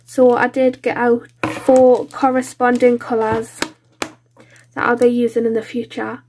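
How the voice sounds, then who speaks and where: A woman's voice: a little high, level tone, unhurried, noisy background. A British woman with a blocked-up nose from a cold, chatting casually and informally as she hosts a craft vlog. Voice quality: congested, nasal. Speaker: colouring channel host.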